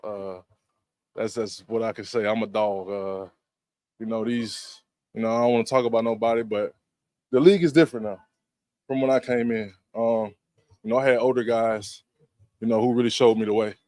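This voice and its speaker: A man's voice: on the low side, fairly even intonation, normal speed, long pauses, a little mellow, no room sound. A football player in his thirties speaking in a press interview, confident and boastful in a laid-back way. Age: 30s.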